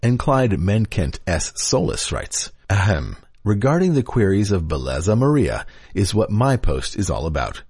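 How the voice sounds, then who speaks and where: A man's voice: on the low side, very animated, moderate pace, a little mellow, breathy edge. A man reading internet forum posts aloud in a declamatory, theatrical way. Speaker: podcast reader.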